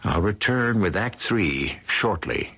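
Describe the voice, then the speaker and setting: A man narrating as host of a scripted suspense radio show, deliberate and portentous in manner. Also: on the low side, lively intonation, sharp tone, slight background noise, exaggerated voice. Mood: grave, intriguing. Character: grave, deliberate male mystery-show host. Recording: muffled old radio recording. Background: prominent music.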